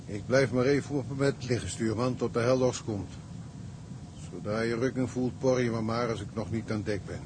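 An actor playing a tug captain in a Dutch radio drama, a weary, pliant skipper with a curt way of giving orders. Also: male voice, mid-range voice, normal speed, close to an everyday voice. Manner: curt orders, gives way readily. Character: weary, pliant male tug captain, anxious about coal. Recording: noisy ship ambience behind.

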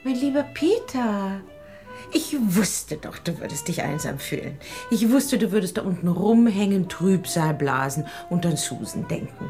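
An actress playing a clingy, overbearing stage star, theatrical and fussing, in a radio drama. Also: female voice, medium pitch, lively intonation, measured pace, warm tone, soft background music. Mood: gushing.

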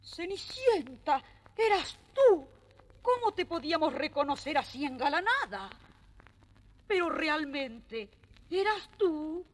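A very high-pitched, bossy stepsister exclaims with haughty indignation, swinging widely in tone. It is theatrical storybook-record acting. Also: female voice, sing-song, takes it slowly, full character voice. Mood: astonished. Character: bossy, shrill, indignant stepsister in a fairy tale.

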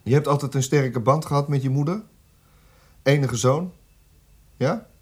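A man acting in a radio drama as a cold, methodical police interrogator with a measured, official way of talking. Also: medium pitch, husky. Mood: cold, pressing. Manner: measured, insistent, official questioning. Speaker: actor.

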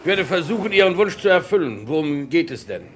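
An actor playing a polite, businesslike airport director in a vintage radio crime drama. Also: male voice, on the high side, very animated, a little fast, a little hiss or hum. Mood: obliging. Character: obliging middle-aged male airport director.

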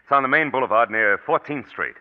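An actor playing a husband giving furtive instructions to a detective in a 1940s radio noir. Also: male voice, medium pitch, expressive, fast talker, close to an everyday voice. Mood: businesslike, secretive. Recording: tinny 1940s radio broadcast recording.

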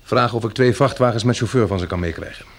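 An actor playing a brisk, purposeful husband in a Dutch radio play. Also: male voice, medium pitch, lightly acted. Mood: worried, firm. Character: brisk, jovial but worried husband Bill.

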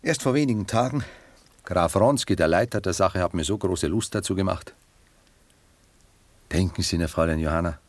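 An actor playing a cultured, melancholic gentleman poet in a German radio drama, speaking in a measured, courteous, reflective manner. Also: deep voice, dry recording. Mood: musing.

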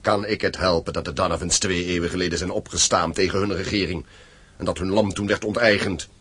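Stern, husky low-voiced mine boss. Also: male voice, fairly even intonation, fairly quick, fairly bright tone. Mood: indignant, threatening. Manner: curt, authoritative, threatening. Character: stern, wealthy copper mine owner, accusing and proud. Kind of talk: radio drama acting.

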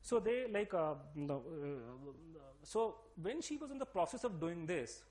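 A man's voice: fairly high pitch, very animated, unhurried, a little mellow. A middle-aged man with a Delhi accent explaining at length, emphatic, with many fillers and restarts. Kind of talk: interview-style explanation.

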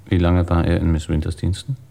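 An actor playing a sober, terse police investigator who puts probing questions in a radio drama, with a gravelly voice. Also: male voice, deep voice, flat delivery, brisk, mellow. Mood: matter-of-fact. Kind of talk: German radio drama acting.

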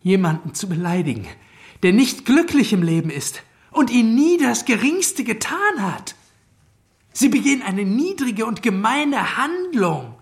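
A high-voiced, indignant schoolmaster. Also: male voice, very animated, unhurried, heavily acted. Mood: indignant, strained. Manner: formal, strained, indignant. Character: downtrodden, indignant schoolmaster struggling for authority. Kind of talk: radio drama acting. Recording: restless classroom crowd behind the voice. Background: crowd of schoolboys.

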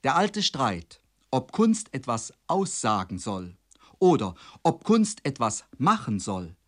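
Formal man giving a scripted radio lecture. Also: medium pitch. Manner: measured, emphatic, rhetorical. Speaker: head of radio drama department introducing a play.

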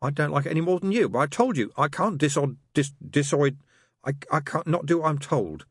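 A man's voice: fairly high pitch, expressive, fairly quick, slightly rough, dry recording, clean studio sound, pitched above the performer's own voice. A man reading aloud and voicing a fussy, anxious male angel who is tipsy, speaking in a fretful, hesitant way.

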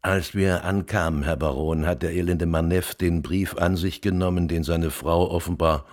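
Deep-pitched, shrewd commissioner male actor, measured radio drama delivery, formally admonishing. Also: steady tone, darkish tone, close to an everyday voice. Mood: dry, admonishing.

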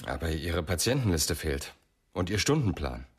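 An actor playing a serious, probing police inspector in a radio crime drama, speaking in terse questions and remarks. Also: male voice, sits low, big pitch swings, moderate pace, husky, exaggerated voice.

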